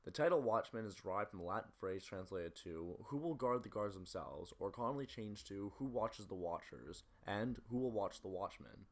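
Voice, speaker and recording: A man's voice: fairly low pitch, animated, moderate pace, hardly any pauses. A man narrating a scripted film analysis as a video essayist, in a critical, opinionated way.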